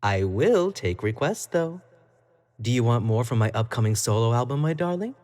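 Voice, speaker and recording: A man's voice: medium pitch, expressive, normal speed, darkish tone, close to an everyday voice. An actor playing an obsessive, clingy young male pop idol in an audio roleplay, talking to the listener in a coy, playful, doting way. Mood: teasing. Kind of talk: scripted roleplay monologue to the listener.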